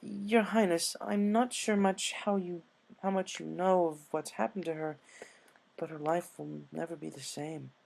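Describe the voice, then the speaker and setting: A man's voice: high-pitched, steady tone, a little slow, creaky, darkish tone, pitched above the performer's own voice, poor audio. A man voicing a refined, eager female unicorn pony with a polite, earnest manner in a story reading. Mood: earnest, respectful.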